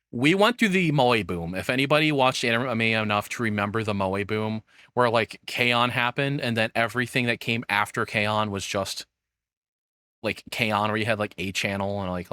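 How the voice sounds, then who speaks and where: A man's voice: lowish voice, a bit bright, no room sound, studio quality. A man giving casual reaction commentary in a rambling, sweary, exasperated way. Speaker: streamer reacting to a video essay.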